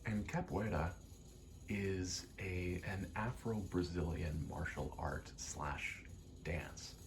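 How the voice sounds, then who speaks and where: A soft-spoken man rambling casually in ASMR style, hesitant and pausing often between short phrases. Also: low voice, gravelly, a little hiss or hum. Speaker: ASMR vlogger and aspiring actor.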